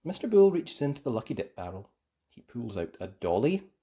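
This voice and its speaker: A woman narrating a children's storybook aloud, slow and expressive. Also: very deep voice, slightly rough. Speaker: storybook reader.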